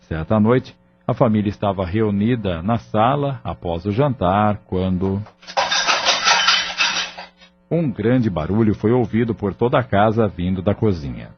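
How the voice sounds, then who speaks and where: A man's voice: lowish voice, expressive, measured pace, highs cut off, noisy background. A Brazilian man reading scripted narration for an audio drama. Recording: band-limited recording with music bed. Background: music.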